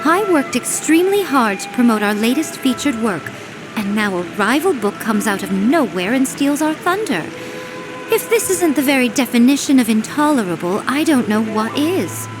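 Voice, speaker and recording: A sly, proud fox spirit priestess from a video game. Her voice sits a little high, and she sounds smooth, teasing and self-assured. Game music plays clearly behind her. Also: sing-song, normal speed. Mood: indignant.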